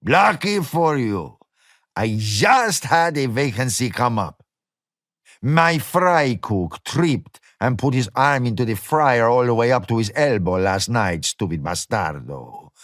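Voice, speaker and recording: A man voicing a fat, blustering diner owner, mocking and loud. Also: medium pitch, no room sound, clean studio sound.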